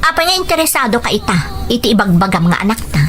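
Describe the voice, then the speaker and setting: A woman's voice: a little high, very animated, fast talker. A woman with an Ilocano accent acting a hurt, defiant mother guarding her child in an audio drama. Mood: hurt, bitter. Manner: emotional, indignant. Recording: music bed under the voice.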